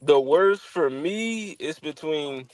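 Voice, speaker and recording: A man on a casual group chat, calmly listing his opinions. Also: a little high, slow, no room sound.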